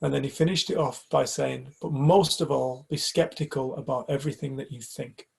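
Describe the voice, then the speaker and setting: A man's voice: mid-range voice, fairly even intonation, normal speed, gravelly, breathy edge. A man from the UK in a casual group discussion, talking reflectively and hesitantly, often restarting his sentences. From United Kingdom. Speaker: community group member.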